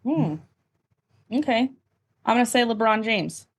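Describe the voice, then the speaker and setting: A woman's voice: fairly high pitch, animated, unhurried, slightly rough. A woman as a podcast guest, chatting casually in a candid, self-deprecating way. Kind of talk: casual chat.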